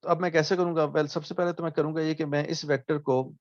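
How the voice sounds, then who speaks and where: A man's voice: on the high side, steady tone, no room sound. Man explaining, dull dry recording. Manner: explains slowly, often asks "ठीक है?", restarts words. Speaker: lecturer. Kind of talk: recorded math lecture.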